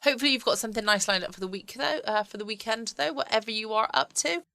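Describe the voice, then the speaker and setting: A woman's voice: on the high side, fast talker, vocal fry, bright, forceful tone, no echo. A woman with a southern English accent chatting casually as host of a bedtime podcast. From United Kingdom. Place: at home, close dry recording.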